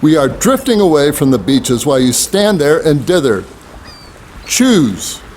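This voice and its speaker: Riddling boatman, blunt, impatient, very animated intonation, audio drama, light background noise. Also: on the high side, measured pace. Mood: grave, urgent. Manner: blunt, goading, enigmatic. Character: cryptic, impatient male boatman giving riddling counsel.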